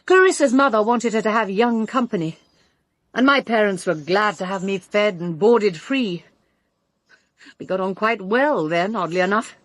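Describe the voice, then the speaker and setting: An actress playing a brittle, sharp-tongued woman suspect in a radio drama, with a British accent and an emphatic, cutting delivery. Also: medium pitch, dry recording, close to an everyday voice. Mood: bitter. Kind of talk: full-cast radio drama dialogue.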